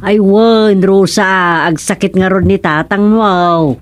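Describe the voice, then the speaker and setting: A woman acting a fussing, exasperated village wife in an Ilocano radio comedy drama, with an expressive, exclamatory delivery. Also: mid-range voice, slow pace, loud music behind the voice, natural voice. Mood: chatty, concerned.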